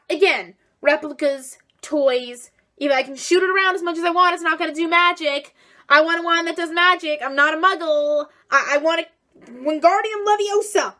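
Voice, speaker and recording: A teenage girl hosting a chatty book vlog, bubbly and fond of "like", her voice still a bit hoarse from recent strain. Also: sits high, sharp tone. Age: teens. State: slightly hoarse, recovering voice. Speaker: book vlogger. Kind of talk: casual vlog list.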